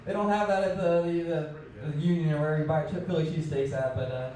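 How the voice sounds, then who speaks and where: Stand-up comic, male, high voice, combative crowd work, live club recording with lots of echo, picked up from across the room. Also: fairly even intonation, fast, mellow. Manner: brash, teasing, combative banter with the crowd. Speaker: stand-up comedian. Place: small comedy club stage. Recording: echoey club, recorded from far off.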